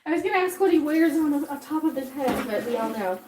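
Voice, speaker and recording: Woman, casual livestream chat, home kitchen, sniffly, fairly high voice. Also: expressive, fast talker, very noisy. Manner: chatty, reads viewer comments aloud. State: sniffly from jalapenos. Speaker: livestream host cooking.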